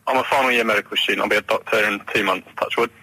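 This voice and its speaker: A man's voice: on the low side, fairly even intonation, very fast, pushed and bright, slightly rough, no room sound, poor audio. A man in his twenties phoning in to a radio show, explaining things casually and matter-of-factly. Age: 20s. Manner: matter-of-fact. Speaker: radio phone-in caller, medical student. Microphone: telephone.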